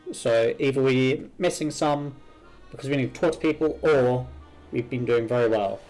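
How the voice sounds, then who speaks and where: A man's voice: mid-range voice, moderate pace, a little mellow, poor audio. A man streaming a video game, thinking aloud as he works through puzzles and reads them out. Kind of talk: live game commentary, reading puzzles aloud. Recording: game music behind the voice. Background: video game music.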